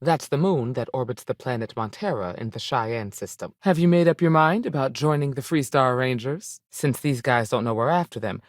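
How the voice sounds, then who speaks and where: A woman's voice: a bass voice, expressive, moderate pace, few pauses, no room sound, clean studio sound. An actress playing a tough, dutiful woman space ranger in a video game, speaking in a plain, firm manner. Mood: earnest, businesslike. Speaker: video game character voice actor. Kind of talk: game dialogue lines.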